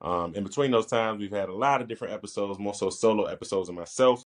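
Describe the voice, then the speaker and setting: A man from Washington, D.C. hosting a casual podcast, easygoing and quick to laugh. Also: on the low side. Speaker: podcast host.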